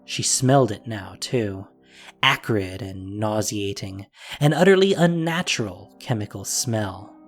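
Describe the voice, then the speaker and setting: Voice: mid-range voice, expressive, a little slow, soft background music. A narrator reading a scripted fantasy story in a dramatic audio drama style.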